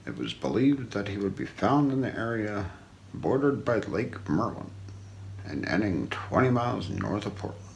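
Male ASMR narrator, heavy fry, very wide swings in pitch, hushed and deliberate scripted delivery. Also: big pitch swings, poor audio. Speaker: ASMR role-play performer. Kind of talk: ASMR, scripted mystery narration role play. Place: small indoor room. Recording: faint background noise.

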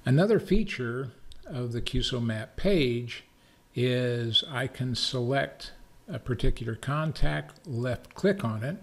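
Slow-paced American man giving a tutorial. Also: medium pitch, expressive. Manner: measured, methodical step-by-step explaining. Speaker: tutorial presenter, amateur radio operator. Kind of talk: screencast software tutorial narration.

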